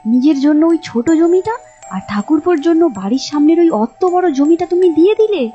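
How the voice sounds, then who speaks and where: A woman's voice: sits high, expressive, normal speed, warm tone, lo-fi sound, faint music behind, voice pushed up. A woman voicing a pushy, grasping young wife and daughter-in-law in a folk tale, insistent in manner. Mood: indignant. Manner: insistent, nagging.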